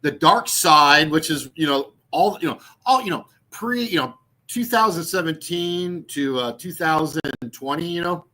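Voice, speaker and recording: A man's voice: a little high, unhurried, pushed and bright. A man in his sixties talking casually on his channel, meandering with many fillers and restarts and mocking with laughs. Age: 60s. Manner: mocking, laughs at own jokes. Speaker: YouTube channel host. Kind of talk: rambling casual vlog talk.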